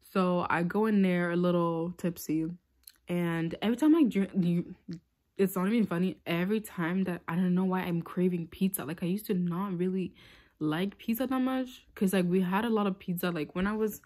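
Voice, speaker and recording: A woman's voice: on the low side, fairly even intonation, normal speed, darkish tone. Chatty young woman rambling through a story. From Tanzania. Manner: rambling, lots of "like" fillers. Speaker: vlogger. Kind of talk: casual storytime chat. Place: small room indoors. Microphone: phone held close.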